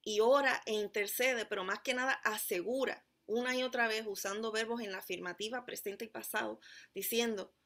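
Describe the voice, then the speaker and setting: A woman from Puerto Rico with a Puerto Rican Spanish accent, delivering a sermon as pastor in a measured, emphatic way, slowing down on key phrases. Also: fairly high pitch, fairly even intonation, fairly bright tone. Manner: measured, emphatic preaching with deliberate slowed phrases.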